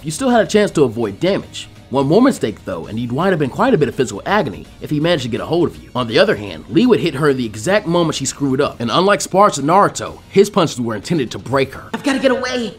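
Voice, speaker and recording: Male narrator, animated story reading, very lively intonation, fast delivery. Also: medium pitch. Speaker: fan fiction reader.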